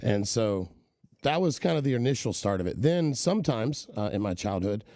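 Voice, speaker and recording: Animated storytelling man with a dark, low voice. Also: moderate pace, no room sound, studio quality. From Kenya and the southern US. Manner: rambling, animated storyteller with many "right?" tags. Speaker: podcast guest. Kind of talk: casual storytelling interview.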